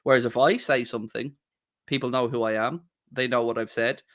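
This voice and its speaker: A man's voice: mid-range voice, fairly even intonation, a little fast, a bit bright. A young man hosting a casual podcast, talking bluntly and defiantly and swearing freely. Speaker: podcast host.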